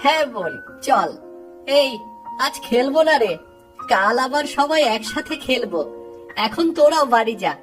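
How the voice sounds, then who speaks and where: A woman's voice: high-pitched, lively intonation, unhurried, long pauses, distant mic. A woman voicing an eager, boastful young village boy in a cartoon, with music underneath. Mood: eager, helpful. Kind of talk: cartoon voice acting.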